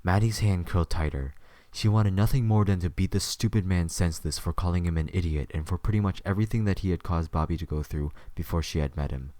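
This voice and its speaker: Male narrator, deep pitch, dry close recording, scripted audio drama storytelling. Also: fast talker, warm tone, no echo.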